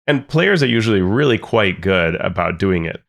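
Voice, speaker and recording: Deep, gravelly-voiced male podcast co-host who talks in a chatty way full of asides. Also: lively intonation, normal speed, a bit bright. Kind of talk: casual podcast discussion.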